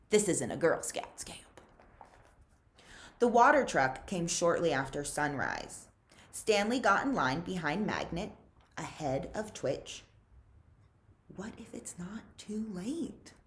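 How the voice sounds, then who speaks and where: A woman reading a children's novel aloud as a librarian would, with measured, dramatic emphasis. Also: low-pitched. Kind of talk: expressive storybook read-aloud.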